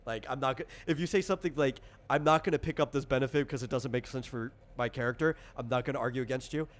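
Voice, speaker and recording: A man's voice: mid-range voice, fast. A man casually explaining tabletop game rules as designer and game master, talking informally with examples.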